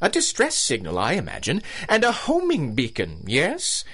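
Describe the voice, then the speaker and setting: Pompous colonel, wildly swooping voice. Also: male voice, fairly high pitch, wildly swooping pitch, moderate pace, a bit bright, breathy edge, heavily acted. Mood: gloating. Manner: pompous, theatrical, genteel menace. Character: pompous British colonel, big-game hunter villain, coldly courteous. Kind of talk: radio drama acting.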